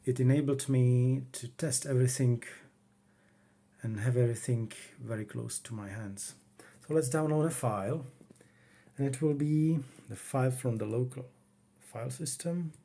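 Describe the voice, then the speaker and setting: Brief: Czech man speaking English, mellow tone, plain easygoing hobbyist, home workroom, keyboard clicks behind. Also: mid-range voice, gravelly. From Czech Republic. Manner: unhurried, plain, talks while working the computer. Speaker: retro computing hobbyist. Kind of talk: casual home demo walkthrough.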